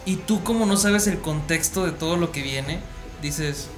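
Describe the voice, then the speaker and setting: A Mexican man with a slightly high voice chats in slangy, animated fashion, retelling scenes. The sound is roomy and echoey, with clear music behind him. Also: moderate pace. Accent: Mexican Spanish. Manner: slangy, animated storyteller who acts out scenes. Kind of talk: casual chat about an anime series.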